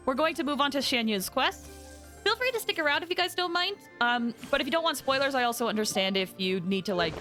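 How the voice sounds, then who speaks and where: A woman livestreaming a game, chatting casually with viewers in a bubbly, expressive way as she thinks aloud. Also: sits high. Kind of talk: casual gameplay chat with viewers. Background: game music.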